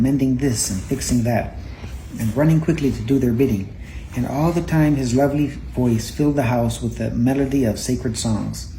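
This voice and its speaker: Mellow mid-pitched voice, parent reading a bedtime Bible story, halting read-aloud, faint noise behind. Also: rough recording. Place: indoors at home. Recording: home recording with faint background noise.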